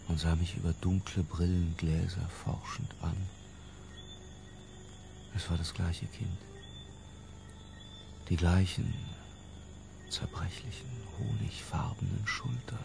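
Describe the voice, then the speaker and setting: An actor playing an obsessive, lyrical middle-aged intellectual who confesses in a hushed, deliberate literary radio drama narration. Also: male voice, low-pitched, vocal fry, background noise, full character voice. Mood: rapt. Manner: hushed, deliberate, breaking into near-whispers.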